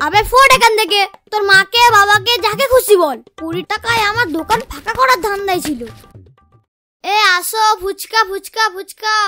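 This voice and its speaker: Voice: very high-pitched, moderate pace, pushed and bright. A performer voicing a cheeky, short-tempered child street seller in a comic cartoon, with sassy sales patter and music behind. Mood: angry. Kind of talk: Bengali comic cartoon dialogue.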